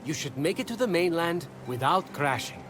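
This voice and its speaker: A high, swooping male voice over music, playing a flippant trickster god in a video game. He is glib and wry, always ready with a playful joke. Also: lively intonation, normal speed, a bit bright. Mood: flippant, teasing. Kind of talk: animated video game character acting.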